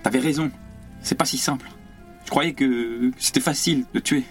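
An actor playing an earnest young idealist revolutionary, anguished and fervent, with halting, repeated words in a French radio play. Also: male voice, mid-range voice, soft background music, close to an everyday voice. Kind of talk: French radio theatre acting.

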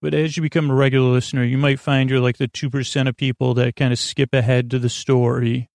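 A man hosting a sleep podcast, rambling in a drowsy, meandering way and gently reassuring his listeners. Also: mid-range voice, little pitch movement, quick pace, vocal fry, dry recording, studio quality. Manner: meandering, drowsy, self-interrupting.

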